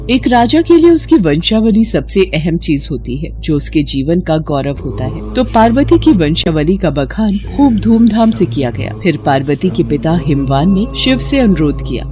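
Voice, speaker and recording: A woman narrates a scripted devotional tale. Her voice sits a little low, and music plays clearly behind her. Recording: prominent background music.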